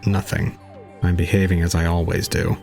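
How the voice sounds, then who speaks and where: A man playing a detached, resigned young student, narrating solemnly and calmly in an audio drama. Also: low voice, moderate pace, vocal fry, faint music behind. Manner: solemn, calm, unemotional. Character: detached, resigned young male student recounting his own ordeal. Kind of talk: scripted first-person audio drama narration.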